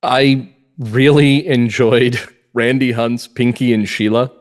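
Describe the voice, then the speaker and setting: Guy playwright being interviewed on a podcast, normal mid pitch, casual chat. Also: steady tone, takes it slowly.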